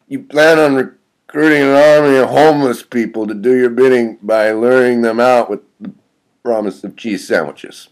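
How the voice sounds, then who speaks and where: A man's voice: mid-range voice, normal speed, smooth, voice pushed up, lightly acted, rough recording. A man voicing a sardonic, mouthy vagrant in a story, with a mocking and profane way of talking. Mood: incredulous.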